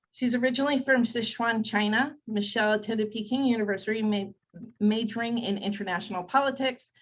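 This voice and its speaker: A woman hosts, reading out a speaker's introduction with care and the odd stumble. Her voice sits at middle pitch. The call audio is muffled and dull. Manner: reads carefully, with small slips.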